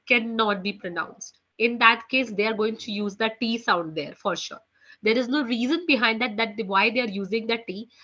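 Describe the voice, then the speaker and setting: A woman with an Indian English accent teaching a beginner French class online, often repeating "you know" and "okay" between phrases. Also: fairly bright tone. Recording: muffled low-fidelity audio.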